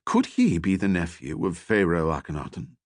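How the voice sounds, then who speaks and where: A man's voice: deep voice, wildly swooping pitch, normal speed, no room sound, studio quality, pitched below the performer's own voice. A man reading the part of a proud, assertive female archaeologist in an audiobook, formal and keen in manner. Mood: curious.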